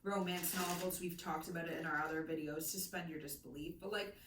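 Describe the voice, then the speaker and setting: A woman with a bright, crisp-edged voice and medium pitch co-hosts a casual book discussion. She is playful and opinionated. Her voice comes from a little way off the mic in a very echoey room. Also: fairly even intonation, fairly quick, slight background noise. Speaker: co-host of a book discussion show. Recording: echoey room, distant microphone.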